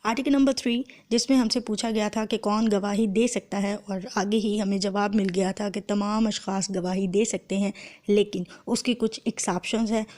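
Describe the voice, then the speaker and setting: A woman law lecturer with a Pakistani accent speaks at a middle pitch, her throat sore. She explains methodically, as if to students preparing for a test, and slips English terms into her teaching. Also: a little mellow. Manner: explanatory, translating phrase by phrase.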